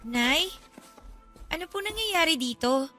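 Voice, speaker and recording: A woman's voice: sits high, animated, slow pace, bright, forceful tone, faint music behind, full character voice. A woman playing a worried, respectful Filipino teenage daughter in a radio drama, hesitant and polite. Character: worried, respectful eighteen-year-old daughter.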